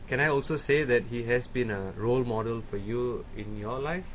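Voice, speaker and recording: A man's voice: medium pitch, normal speed, a little hiss or hum. A man interviewing a guest, asking short polite questions.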